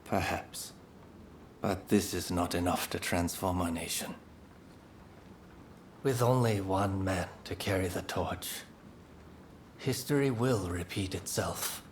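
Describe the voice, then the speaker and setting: Slow, solemn samurai teacher. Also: male voice, low-pitched, lively intonation, slight background noise. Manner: solemn, philosophical, formal. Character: imprisoned revered samurai teacher, calm, resolute, resigned to death.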